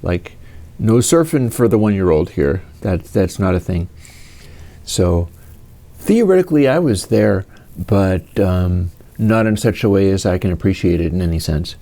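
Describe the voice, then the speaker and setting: Man in his 50s, kinda low warm voice, rambly q&a stream, lots of ums and restarts. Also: expressive, measured pace. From United States. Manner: rambling, digressive, with many fillers and restarts. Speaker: host answering viewer questions. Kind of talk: casual rambling livestream Q&A.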